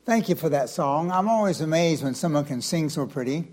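An older male pastor with a slightly raspy voice, talking in a folksy, chatty way before a sermon and then rising into emphatic preaching. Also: a little high, expressive, brisk, a little mellow. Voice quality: raspy from vocal strain. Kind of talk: evening church sermon.

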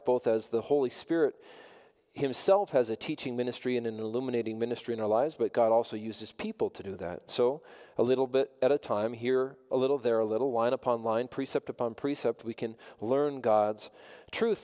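A man preaching a sermon as pastor, measured and earnest, often repeating phrases for emphasis. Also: mid-range voice, dark tone, in a large hall. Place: church.

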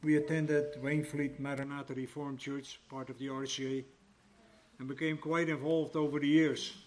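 A man around seventy reads a prepared baptism testimony to his church in a steady, plain way. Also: mid-range voice, normal speed.

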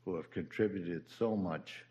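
Elderly man, near ninety, very deep voice, slow, halting, church memorial tribute. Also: mellow. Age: older, near ninety. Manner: halting, with fillers and repeated words. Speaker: church member giving a eulogy.

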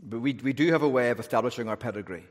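A man with a Northern Irish accent, preaching a sermon in a relaxed, conversational way with humorous asides. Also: medium pitch, racing. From Northern Ireland. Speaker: pastor. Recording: long hall reverb.

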